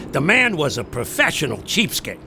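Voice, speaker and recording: An actor playing a hesitant, wry, rumpled man with a halting delivery in a comic audio story. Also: a little high, huge pitch swings, full character voice. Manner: halting, muttering asides. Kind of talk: comic celebrity impression.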